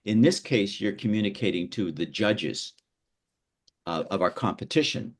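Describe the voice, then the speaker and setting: A middle-aged man from Canada teaching an online workshop over a video call, halting often with fillers and repeated words. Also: lowish voice, lively intonation.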